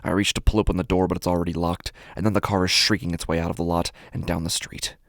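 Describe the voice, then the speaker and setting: An actor playing a menacing, nihilistic street tough in a first-person horror podcast monologue, brooding and deliberate, with a gravelly voice and heavy pauses. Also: male voice, low voice, little pitch movement, dry recording, clean studio sound. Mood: bitter, dismissive. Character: menacing, drugged, nihilistic male street tough with violent urges. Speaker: horror fiction podcast narrator. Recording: music under the voice. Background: background music.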